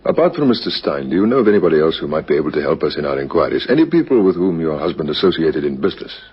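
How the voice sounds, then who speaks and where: A man's voice: rumbling low, big pitch swings, very fast, breathy edge, heavily acted. An actor playing a businesslike, probing police captain questioning people in a radio crime drama, a man with a politely formal manner. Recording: dull lo-fi vintage radio recording.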